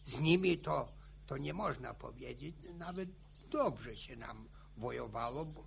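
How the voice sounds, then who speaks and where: An actor playing an old village grandfather in a radio play, spinning boastful war yarns with a gravelly, stammering, chuckling voice. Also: medium pitch, expressive, unhurried, a little hiss or hum. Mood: boastful reminiscing. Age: older. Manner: chuckling folksy yarn-spinning. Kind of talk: radio drama acting.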